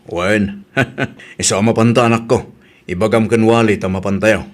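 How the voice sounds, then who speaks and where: A man playing a gentle, placating middle-aged father in an Ilocano-accented radio drama. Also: lowish voice, fairly even intonation, moderate pace, lightly acted. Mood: hopeful. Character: easygoing, placating older father who chuckles away insults.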